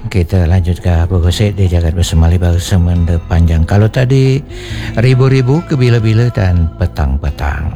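A man's voice: low-pitched, moderate pace. A man, chatting casually as a radio show host. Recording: music playing behind the talk. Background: music.

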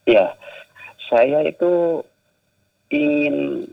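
Gravelly Indonesian man with a Sumatran-tinged accent. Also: fairly high pitch, extremely slow, phone-quality sound. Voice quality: gravelly, hesitant with many fillers. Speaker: interview guest, writer and journalist. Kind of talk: casual interview talk.

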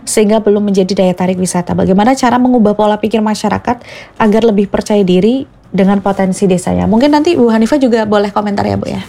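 A woman's voice: medium pitch, fast, creaky, dark tone. A woman moderating a panel discussion, attentive and affirming, putting questions to the guests. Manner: attentive, with frequent affirming murmurs.

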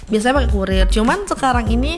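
A young woman with an Indonesian accent speaks very fast in a slightly high voice. She is an informal interview guest, explaining things conversationally with light music behind her. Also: lively intonation. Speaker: interview guest, small food seller. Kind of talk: casual chat, explaining.

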